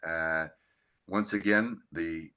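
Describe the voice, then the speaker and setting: Male podcast interviewer, slow and halting, with a sharp, bright tone. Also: low voice, fairly even intonation, clean tone. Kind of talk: hosting an interview.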